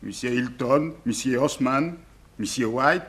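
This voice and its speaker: A man's voice: medium pitch, measured pace, a bit bright. A weary, submissive plantation labourer in an old French radio play. His voice sits in a middle register, and he talks simply and naively, with a mournful streak. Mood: plain, submissive. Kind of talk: 1960s French radio drama acting.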